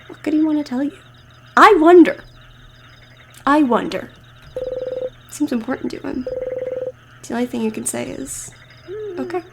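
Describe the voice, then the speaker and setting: High-pitched woman streamer, slightly creaky. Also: moderate pace, pauses often, background noise. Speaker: streamer playing a story RPG. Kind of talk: let's play commentary and game text read aloud.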